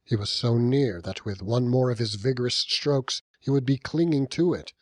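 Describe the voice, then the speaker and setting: A man narrating a classic novel as an audiobook, in a measured, dramatic storytelling manner with deliberate pauses. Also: mid-range voice, dry recording, clean studio sound. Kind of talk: scripted literary narration.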